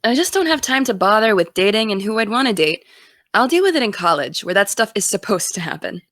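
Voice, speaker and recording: A woman's voice: mid-range voice, very animated, a little fast, a bit bright, no room sound, studio quality. A woman playing a driven, distracted college-bound young woman in an audio drama, speaking casually and wryly. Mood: casual, guarded. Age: young adult. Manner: casual, wry. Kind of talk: naturalistic audio drama dialogue.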